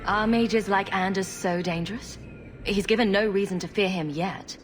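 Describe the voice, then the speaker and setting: A woman's voice: medium pitch, normal speed, soft background music, natural voice. A woman playing a level-headed, compassionate young adventurer in a video game, composed and questioning. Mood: diplomatic, questioning.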